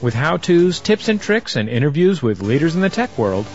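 Promo announcer, male, upbeat and salesy, lively pitch, soft guitar music under it. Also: normal speed. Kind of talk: scripted podcast promo. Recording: dull lo-fi audio with guitar music behind.

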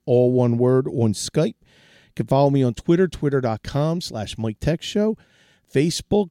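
A middle-aged man hosts his tech show in a relaxed, chatty way, often restarting his sentences as he gives advice. His voice is hoarse and fading from a sore throat, with a mellow, dark tone. It is recorded dry and close, with no room sound. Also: mid-range voice, dry recording. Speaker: tech podcast host. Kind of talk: casual solo tech talk.

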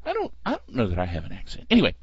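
A man's voice: fairly low pitch, rapid-fire, breathy edge, no echo. A man hosting a podcast, chatting wryly and reading listener mail aloud. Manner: lively, wry, chatty, reads listener email aloud. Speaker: podcast host. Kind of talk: casual podcast monologue. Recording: dull lo-fi podcast audio.

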